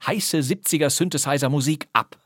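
A man's voice: fairly high pitch, normal speed, a bit bright, husky, no echo, clean studio sound. A man hosting a podcast, reading a scripted introduction to a radio play and addressing listeners in a teasing way. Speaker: podcast host.

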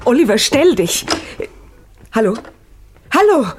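A worried daughter in a crime radio drama, recorded with some background noise. She is earnest and hesitant, with lively swings of pitch. Her delivery is pleading and anxious. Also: takes it slowly. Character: anxious, pleading young daughter of troubled family. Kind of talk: German crime radio play acting.

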